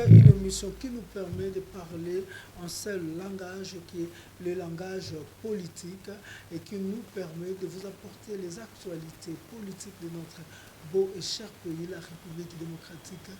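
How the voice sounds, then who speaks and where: Congolese guy hosting a politics show, pretty high voice, lots of noise behind. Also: fairly even intonation, normal speed. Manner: questioning, formal sign-off, mixes French and Lingala. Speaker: talk show host.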